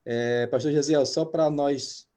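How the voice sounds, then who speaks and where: A man in his fifties, a pastor hosting an interview, talking hesitantly with many drawn-out filler sounds. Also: a little high, steady tone, dark tone. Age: 50s. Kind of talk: interview, reading a guest introduction.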